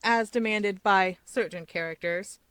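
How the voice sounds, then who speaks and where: A woman hosting and narrating fan fiction in an expressive scripted reading style. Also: mid-range voice, unhurried, clear, resonant tone, dry recording. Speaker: channel host and story narrator.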